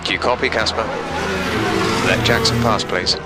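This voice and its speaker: An actor playing an insistent race engineer giving firm team orders over the radio in a video game. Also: male voice, mid-range voice, fairly even intonation, normal speed, loud music behind the voice. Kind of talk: urgent radio instructions, game acting.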